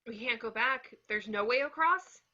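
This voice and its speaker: A woman's voice: a little high, expressive, normal speed, pushed and bright. A woman reading the part of a shaken, fatalistic young woman in an amateur horror screenplay table read, on lo-fi audio. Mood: worried.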